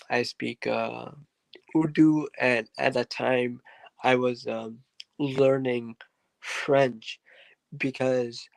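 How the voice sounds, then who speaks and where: A man speaks at an unhurried pace, his voice carrying a rough, gravelly edge. He tells his story as a podcast guest, rambling through fillers and asides. The sound is dry, with no room echo. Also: mid-range voice. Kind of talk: casual interview storytelling.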